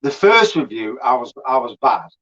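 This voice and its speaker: A man's voice: medium pitch, big pitch swings, moderate pace, a bit bright, highs cut off. A man, a beer reviewer, chatting casually as a guest on a stream, swearing freely and laughing as he tells stories.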